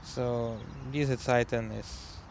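A man with a Ukrainian accent hosts a community radio music show, speaking very slowly and pausing often to search for German words. His voice sits at an ordinary male pitch. Clear background noise runs under the recording. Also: fairly even intonation. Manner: halting, searching for German words, many fillers. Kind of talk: unscripted radio music presentation.

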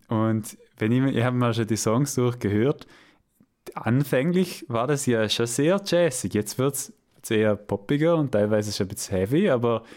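A man's voice: medium pitch, a little mellow. A man from Vorarlberg hosting a radio show, asking questions in a hesitant, friendly way with light laughs. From Vorarlberg, Austria. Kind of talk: casual interview.

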